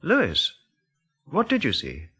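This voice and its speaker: An actor playing an inquisitive, concerned gentleman in an audio drama, putting brief, probing questions. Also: medium pitch, wildly swooping pitch, measured pace, loud music behind the voice.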